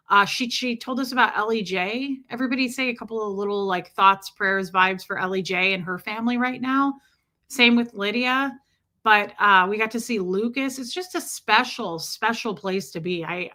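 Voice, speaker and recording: A woman hosting a casual livestream, chatting warmly and greeting viewers by name as she answers their comments. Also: a little high. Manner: chatty and warm toward viewers, many fillers. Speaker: livestream host.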